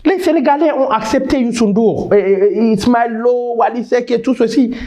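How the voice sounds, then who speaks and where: A man's voice: extremely high pitch, normal speed, warm tone. A man in his thirties from Niger, answering interview questions with emphatic, passionate talk, often restarting words. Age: 30s. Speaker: guest.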